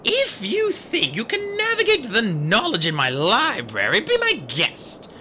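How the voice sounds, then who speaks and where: A cold, regal goddess of magic, as a man puts her on in an audiobook, speaking with slow, aloof authority. Her voice sits high and climbs and falls widely. It comes across bright and clear. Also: huge pitch swings, slight background noise, full character voice. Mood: haughty. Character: haughty ancient goddess of magic, female.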